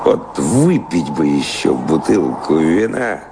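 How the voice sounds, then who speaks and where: Актёр играет в радиопьесе неприкаянного странника-романтика, усталого от мира, но непокорного, с задумчивой театральной растянутой речью. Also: male voice, very deep voice, big pitch swings, takes it slowly, vocal fry, heavily acted. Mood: brooding, impassioned. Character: restless adventurer wanderer, world-weary yet defiant romantic. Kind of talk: radio play acting. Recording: noisy, hissy radio play recording.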